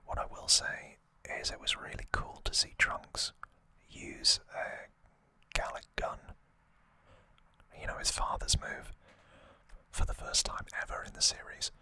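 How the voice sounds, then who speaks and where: An ASMR host speaking in a breathy, unvoiced whisper, giving a soft, rambling, unscripted trivia recap with personal opinions. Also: unhurried.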